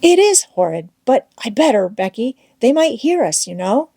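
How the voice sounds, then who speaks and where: A woman's voice: on the high side, sing-song, normal speed, creaky, dark tone, breathy edge, pitched above the performer's own voice, exaggerated voice. A woman voicing an adventurous young boy in a storybook, reassuring but uneasy, with folksy wording. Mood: dismayed. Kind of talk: children's novel read aloud.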